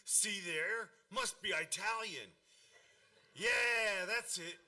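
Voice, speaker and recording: An actor playing an excitable, blustery middle-aged father in a comedic radio play, eager and proud as a child, often repeating words. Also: high-pitched, slow pace, full character voice. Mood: eager, flustered. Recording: distant microphone.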